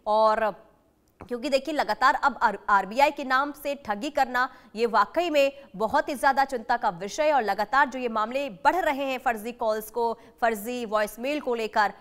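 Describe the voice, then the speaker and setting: A woman's voice: fairly high pitch, moderate pace, a bit bright. A woman anchoring live breaking news, speaking in an urgent, cautioning and repetitive way.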